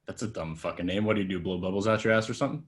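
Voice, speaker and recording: A man's voice: low voice, very fast, slight background noise, close to an everyday voice. A man at a table read playing a cocky, crude young actor who taunts people and talks himself up. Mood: mocking. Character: cocky, mocking hot-shot young male actor in trouble.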